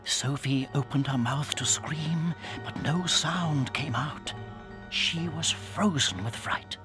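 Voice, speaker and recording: A man with a British accent narrating a dramatized children's story in a suspenseful, theatrical way that can drop toward a hush. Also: fairly high pitch, slow pace, over music. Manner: dramatic, suspenseful, hushing to near whispers.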